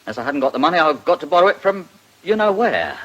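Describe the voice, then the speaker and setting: An actor playing a jovially menacing blackmailer in a radio drama, with a mocking, falsely chummy manner. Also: male voice, fairly high pitch, big pitch swings, fast talker, a bit bright, dull audio. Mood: threatening.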